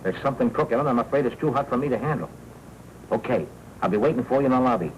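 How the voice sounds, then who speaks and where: An American actor playing an anxious, slangy newspaper sidekick in a 1940s noir film. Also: male voice, medium pitch, rapid-fire, lightly acted, rough recording. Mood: urgent, worried. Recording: hissy 1940s film soundtrack.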